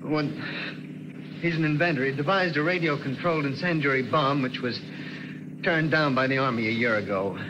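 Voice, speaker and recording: A man playing a high-strung inventor and showman in 1940s radio drama style. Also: normal speed, lo-fi sound, natural voice. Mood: weary, troubled. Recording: noisy vintage radio recording.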